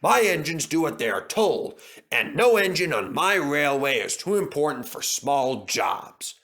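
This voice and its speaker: A man's voice: a little high, big pitch swings, measured pace, a bit bright, full character voice. A man voicing a pompous, stern but fatherly railway boss with a formal, authoritative manner in a children's story.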